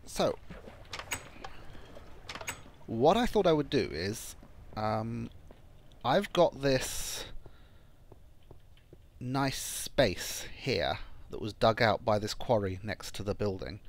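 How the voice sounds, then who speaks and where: A man with a croaky, phlegmy voice from a cold, talking through a building game in casual commentary and thinking aloud with frequent ums. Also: on the low side, expressive, takes it slowly, pauses often, slight background noise. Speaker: gameplay channel host. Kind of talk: casual let's-play commentary.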